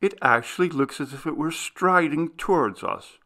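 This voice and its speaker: Man voicing an earnest, higher-pitched young woman. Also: moderate pace, pushed and bright. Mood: eager. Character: devoted, gentle young woman, earnest sweetheart.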